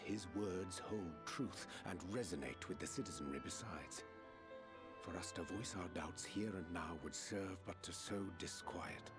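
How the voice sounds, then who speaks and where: Very deep, solemn male actor as archaic sage. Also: natural voice. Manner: formal, archaic, measured diction. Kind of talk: theatrical fantasy game voice acting. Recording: roomy echo, game music behind.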